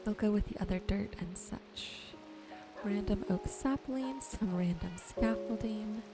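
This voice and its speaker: A woman softly and gently narrating her gameplay in an ASMR style, musing to herself as she goes. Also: mid-range voice, measured pace, over music. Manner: soft-spoken, gentle musing to herself while sorting. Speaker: gaming video host.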